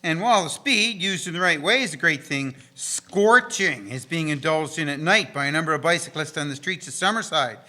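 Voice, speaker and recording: A man's voice: fairly high pitch, animated, brisk, few pauses, pushed and bright. A man playing a folksy 1890s bike shop worker in a community radio drama, with a colloquial, homespun way of talking. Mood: disapproving. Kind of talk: community radio drama acting in early live radio manner.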